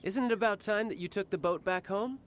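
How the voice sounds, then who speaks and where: An actor playing a cocky young male warrior and doting older brother in a game cutscene, smooth and teasing. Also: sits high, fairly quick, a little hiss or hum, lightly acted.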